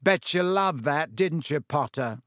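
A man's voice: fairly high pitch, lively intonation, measured pace, fairly bright tone, no room sound, studio quality. A man voicing a spiteful schoolboy bully with a mocking drawl in a storybook reading. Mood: sneering.

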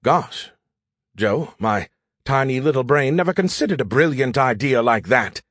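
A man voicing an arrogant, excitable alien artificial intelligence in an audiobook, with a sarcastic, condescending, theatrical manner. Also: mid-range voice, fairly quick, no room sound, clean studio sound, close to an everyday voice. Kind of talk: audiobook character voice.

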